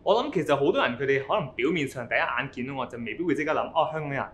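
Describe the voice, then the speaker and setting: A man's voice: mid-range voice, lively intonation, very fast, a bit bright, a little hiss or hum. A man in his thirties from Hong Kong, answering interview questions confidently and with jokes. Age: 30s. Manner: joking, confident.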